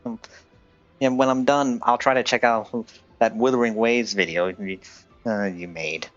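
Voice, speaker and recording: A man chatting casually on a game livestream and giving advice in a hesitant, halting way. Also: mid-range voice, a bit bright, no echo, lo-fi sound. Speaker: game live streamer. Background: game music.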